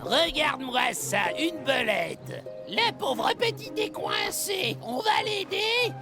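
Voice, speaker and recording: A woman's voice: very high voice, very animated, slow, full character voice. A woman voicing a sly, hungry villain beast in a children's cartoon, gloating and mocking in a theatrical way. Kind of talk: animated children's cartoon acting. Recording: music behind the voice.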